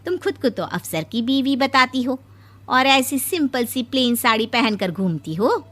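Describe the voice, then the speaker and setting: A woman's voice: sits high, animated, measured pace, fairly bright tone. A woman voicing a nosy middle-aged neighbor woman in a cartoon story, teasing and gossipy.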